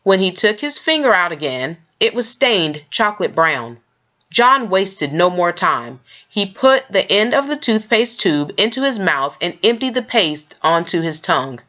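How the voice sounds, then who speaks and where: A woman reading a children's book aloud in an expressive storytelling style, with scripted narration. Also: medium pitch, unhurried, dull audio.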